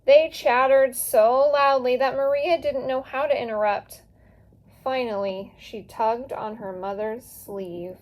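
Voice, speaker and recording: High-pitched woman reading a children's story. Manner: expressive, dramatizing dialogue, emphatic pauses. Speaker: teacher reading a storybook aloud. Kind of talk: animated children's read-aloud with casual asides.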